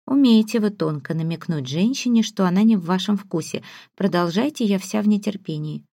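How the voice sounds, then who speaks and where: A woman's voice: mid-range voice, expressive, a little fast, mellow, no echo, clean studio sound. A woman voicing a prickly, sarcastic female academy teacher in an audiobook, with a biting, curt manner.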